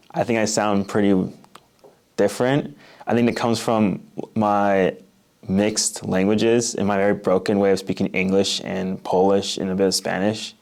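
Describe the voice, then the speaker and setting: A young man from the Midwest in his late teens, speaking in a fairly low voice. He chats loosely and drops in plenty of filler words. The accent is relaxed and Midwestern American. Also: vocal fry. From Oak Park, Illinois, USA. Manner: casual, uses many "like" fillers. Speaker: interviewee. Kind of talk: read-aloud passage and casual interview.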